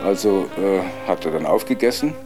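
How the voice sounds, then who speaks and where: A man with a fairly low voice narrates a joke in loose, halting phrases. Plucked guitar music is strong behind him. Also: animated. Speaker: storyteller. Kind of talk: improvised joke telling.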